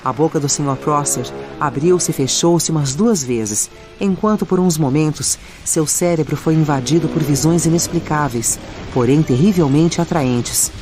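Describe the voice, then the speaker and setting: A woman's voice: sits low, moderate pace. A woman with a Brazilian accent reading scripted audiobook narration. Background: music and added sound effects.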